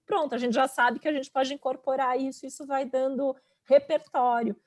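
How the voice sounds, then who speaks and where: A woman's voice: high-pitched, fairly even intonation, moderate pace. A Brazilian woman speaking informally in a panel discussion, conversational and often checking for agreement. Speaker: astrologer and teacher.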